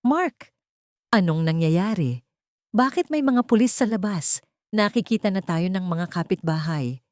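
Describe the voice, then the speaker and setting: A woman's voice: fairly low pitch, expressive, unhurried, dry recording, clean studio sound. A woman voicing a panicky, haughty mistress in an audio drama, agitated and defiant. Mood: panicked.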